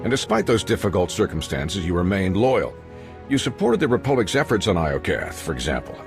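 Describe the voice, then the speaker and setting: An actor playing a personable, showy galactic news reporter and host in a video game, with polished delivery. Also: male voice, deep voice, big pitch swings, fairly quick, gravelly, soft background music, heavily acted. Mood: polished, broadcast. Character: smooth, chatty male galactic news reporter and show host.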